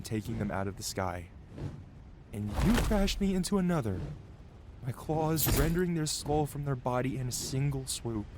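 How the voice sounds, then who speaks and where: Guy doing a grumpy tsundere dragon telling off his rider, emotional asmr roleplay, mid pitch, bit of noise behind. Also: lightly acted. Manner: stern, emotional, dramatic scolding. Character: tsundere male dragon mount, angry, scolding yet protective of rider. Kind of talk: fantasy ASMR roleplay monologue.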